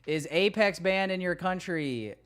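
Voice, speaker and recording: Smooth-voiced guy chatting on a livestream. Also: sits high, lively intonation, a little slow, fairly bright tone. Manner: slangy, joking, swearing. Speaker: livestream host. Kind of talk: casual livestream chat with viewers.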